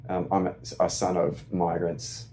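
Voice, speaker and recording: A man's voice: sits low, completely flat delivery, measured pace, dark tone, slight background noise. A man from Australia, a community organiser, asking questions in a searching way with many fillers and restarts. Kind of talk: casual discussion.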